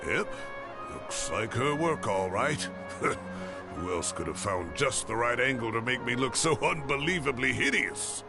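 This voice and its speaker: A gravelly old male professor in a video game, speaks slowly and theatrically. He is fond and self-deprecating, his pitch swinging widely over background music. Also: very animated. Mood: fond, amused. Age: older.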